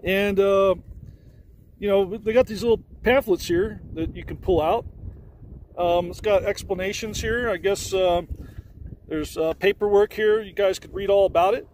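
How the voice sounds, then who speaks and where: A man's voice: high-pitched, normal speed, a little mellow, a little hiss or hum, rough recording. A man in his forties hosting a casual travel vlog, talking into a handheld camera outdoors in an open park. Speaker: vlog host. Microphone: handheld camera at arm's length.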